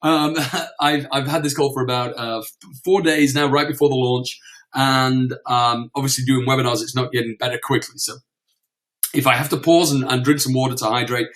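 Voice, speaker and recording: A man presenting a live webinar, croaky from a cold, chatty with lots of fillers and repeated words. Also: medium pitch, fairly even intonation, moderate pace, fairly bright tone.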